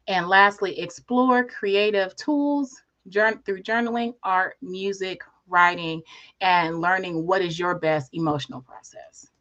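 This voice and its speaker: A woman giving an online workshop presentation in a soothing, calm manner, often adding "right?" as she talks. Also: medium pitch, dull audio. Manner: soothing, repeated "right?" tags.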